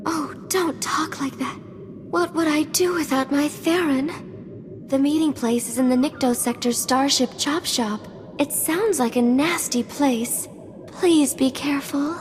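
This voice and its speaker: A woman's voice: sits high, unhurried. A woman playing a flirty, sensitive hologram receptionist in a video game, chatty and gushing with a sassy edge. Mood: anxious, pleading. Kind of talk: cinematic video game dialogue. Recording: hall reverb, faint music behind.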